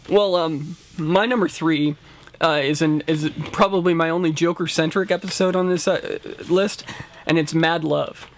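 Higher-voiced man, casual review co-host. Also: measured pace, gravelly. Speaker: co-host of a film and TV review show. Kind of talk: casual top-five list discussion. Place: indoor room lined with shelves of books and discs.

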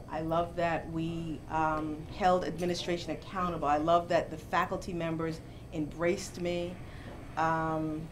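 A middle-aged woman professor from Jamaica speaks in a somewhat low voice. She tells her stories with animation, from a chair in a bookstore. A faint hum of room noise sits underneath. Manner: animated storytelling, gets louder and laughs when amused. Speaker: professor, interview guest. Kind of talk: conversational interview. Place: bookstore, seated in armchair indoors.

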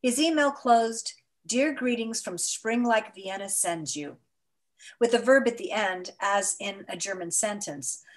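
Woman, middle-aged, literary memoir narration, measured, expressive, video call. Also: mid-range voice. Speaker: actor reading a memoir. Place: indoors in front of a mottled photo backdrop. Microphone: video call webcam.

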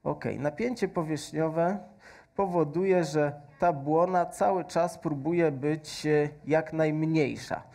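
A man in his thirties doing a stage science demonstration, explaining slowly with many fillers and adding a faith message, on a headset mic. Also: fairly high pitch, steady tone, mellow. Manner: slow, patient explaining with frequent fillers. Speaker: science presenter. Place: large stage with audience hall.

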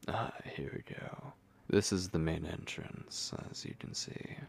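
A man playing a possessive, commanding vampire lord in an ASMR roleplay, speaking in breathy, gravelly whispers with slow, menacing condescension. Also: deep voice, full character voice. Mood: possessive, warning. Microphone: close microphone.

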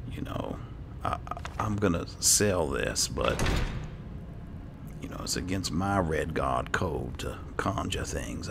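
A man with an extremely low voice, thick with gravelly fry, narrates his video game role-play casually. He takes his time, musing to himself, over clearly audible background noise. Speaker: gaming host, role-playing as his character. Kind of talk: casual in-character game playthrough commentary.

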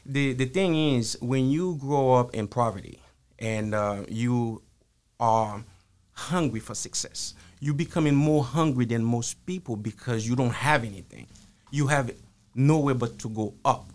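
A man, a podcast guest answering in a measured, earnest way. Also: medium pitch, darkish tone. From Haiti. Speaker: guest, author. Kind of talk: interview answers. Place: podcast studio, indoors. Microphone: studio mic on arm, headphones.

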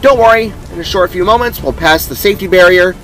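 A man's voice: sits high, fast talker, a bit bright, soft background music, pitched above the performer's own voice. A man voicing a young female skeleton guide in a story, breezy and flippant.

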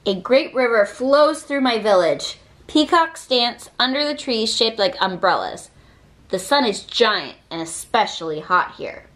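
A woman reading a children's picture book aloud with expressive, deliberate phrasing. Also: fairly high pitch. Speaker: read-aloud reader.

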